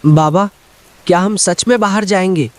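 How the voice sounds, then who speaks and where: A man's voice: sits high, moderate pace, no echo, natural voice. A man voicing a frightened little village boy in a cartoon story, speaking in a pleading, fearful manner. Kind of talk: dramatic cartoon story dialogue.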